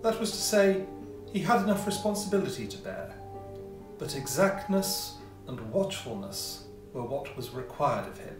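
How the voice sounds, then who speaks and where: A man reading a classic ghost story as scripted narration, with measured, theatrical phrasing and pauses. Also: on the high side, sing-song, over music. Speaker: audiobook reader, amateur player. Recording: roomy echo, distant mic, faint noise.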